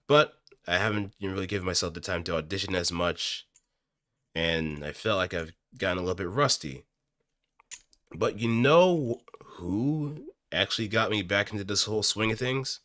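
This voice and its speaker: A man's voice: low-pitched, sharp tone, no room sound. A man chatting casually to viewers on a close microphone, thinking aloud in a hesitant, rambling way. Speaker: aspiring amateur voice actor. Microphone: close studio microphone, dry.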